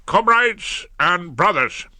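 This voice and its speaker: A man's voice: sits high, lively intonation, takes it slowly, bright, forceful tone, no room sound, studio quality, pitched above the performer's own voice, full character voice. A man doing a speechifying union boss. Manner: speechifying, ungrammatical, suspicious. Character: aggrieved, suspicious male trade union leader. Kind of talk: audiobook character voice.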